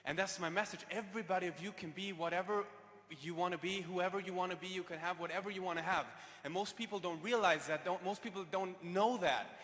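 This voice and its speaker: A man giving an earnest motivational speech on stage, sometimes halting and restarting. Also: high-pitched, fairly bright tone. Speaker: speaker at a business event. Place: large hall on stage. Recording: reverberant hall via PA.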